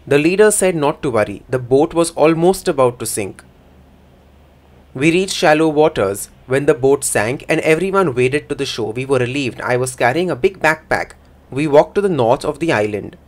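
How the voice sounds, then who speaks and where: Man, voice-over interpreter, first-person account, middle pitch, plain measured delivery. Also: lively intonation. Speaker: voice-over interpreter for a signing speaker.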